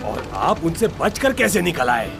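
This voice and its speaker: A man's voice: on the high side, big pitch swings, a little slow, creaky, music in the background, heavily acted. A man voicing a wily, talkative pirate in a dubbed cartoon, theatrical and insinuating. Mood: sceptical.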